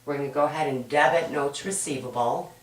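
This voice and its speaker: A woman teaching an accounting homework walkthrough, hoarse and raspy from a cold, patient and step-by-step, often checking in with "right?". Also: low-pitched, fairly quick, a little hiss or hum. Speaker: accounting instructor. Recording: roomy echo, mic at a distance.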